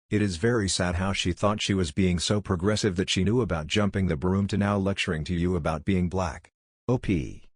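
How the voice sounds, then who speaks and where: A man narrating forum posts and comments in a steady, even reading voice. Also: low-pitched, no echo, clean studio sound. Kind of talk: scripted narration.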